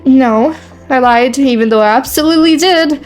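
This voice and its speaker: Woman playing a high-pitched, wry teenage girl. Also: normal speed, soft background music, close to an everyday voice. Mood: guarded, flustered. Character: shy but sarcastic teenage girl, new at school. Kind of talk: scripted first-person story narration with acted dialogue.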